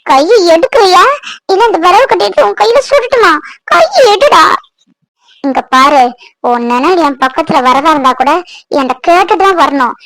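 An actress playing a bitter, tearful young bride in a serial, scolding curtly. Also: female voice, extremely high pitch, animated, normal speed, a bit bright, no room sound, heavily acted, poor audio. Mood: threatening. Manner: scolding, curt, emotional. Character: bitter, tearful young bride who scorns her husband. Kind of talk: Tamil TV serial acting.

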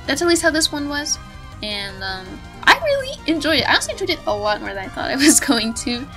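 A woman's voice: high-pitched, huge pitch swings, a little fast, pushed and bright, soft background music. A woman talking off the cuff in a casual, rambling, giggly way with some swearing, like a video creator giving her opinions. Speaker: video creator reviewing visual novels. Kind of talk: unscripted casual chat.

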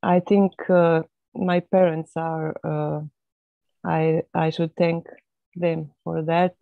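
A Croatian woman introducing herself on a video call, speaking haltingly with many pauses and fillers. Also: low-pitched, little pitch movement, vocal fry, no echo. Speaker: webinar guest speaker. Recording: phone-like thin audio.

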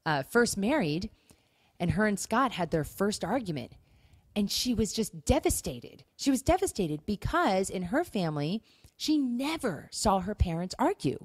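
A woman's voice: medium pitch, sing-song, measured pace, husky, no echo. A middle-aged American woman co-hosting a podcast in casual conversation, chatty and emphatic, repeating words for stress. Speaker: podcast co-host.

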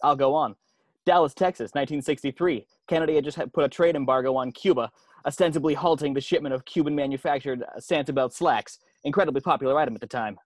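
A man's voice: a little high, fast talker, dry recording, studio quality, natural voice. A man playing a cocky, secretive former hand model with a dramatic, knowing, slangy delivery in an amateur comedy script read. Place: indoors at home on a video call. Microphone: video call.

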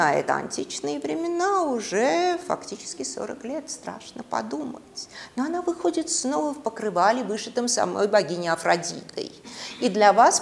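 A middle-aged woman giving a dramatic university lecture in a classroom, with theatrical, expressive storytelling and deliberate pauses. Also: mid-range voice, creaky. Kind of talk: dramatic lecture on literature and mythology. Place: classroom, indoors.

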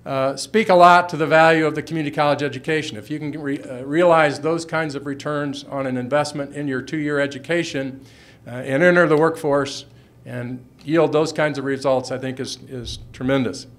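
An older man around sixty speaks as a college official from a podium. His voice sits a little high for a man. The delivery is formal and measured, like prepared remarks in a meeting room. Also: steady tone. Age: older, about 60. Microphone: podium gooseneck microphone.

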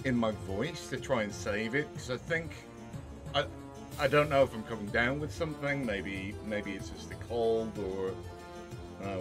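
A man in his thirties with a sore throat, chatting casually and thinking aloud as he plays a game in a small home room. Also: lowish voice. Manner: relaxed, rambling, thinking aloud. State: sore throat, voice lower than normal. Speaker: streamer. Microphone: headset with desk mic.

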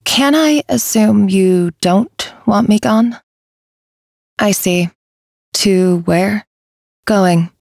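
A woman playing a somber, devoted nightmare fairy in a video game, low and creaky with a slow, brooding manner. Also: darkish tone, no room sound, clean studio sound, exaggerated voice. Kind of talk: video game character voice acting.

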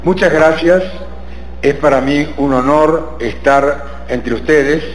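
An older man from Argentina with an Argentine accent, giving a measured, self-deprecating formal talk to a committee as an invited law professor. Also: a little high, steady tone. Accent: Argentine Spanish with Italian traces. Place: large legislative chamber. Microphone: gooseneck microphone at a lectern. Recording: roomy chamber with faint ambience.

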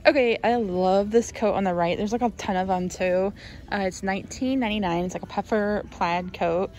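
A woman's voice: medium pitch, moderate pace, darkish tone, a little hiss or hum. A woman with an American accent giving casual, informal shop-with-me narration in a chatty way. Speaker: shopping vlogger.

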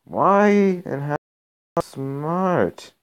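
A young man hosting a mobile game channel, his voice a bit raspy from being sick, rambling and cutting himself off as he comments on his matches. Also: a little high, sing-song, extremely slow, a bit bright. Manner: rambling, self-interrupting, gets exasperated during play. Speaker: gaming channel host. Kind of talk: casual gameplay commentary.

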